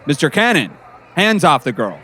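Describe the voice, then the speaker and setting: An actor playing a formal, paternal middle-aged school principal who cautions and admonishes in an audio drama. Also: male voice, a little high, huge pitch swings, normal speed, bright, forceful tone, some chatter behind, heavily acted. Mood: stern, cautioning. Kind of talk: scripted audio drama acting.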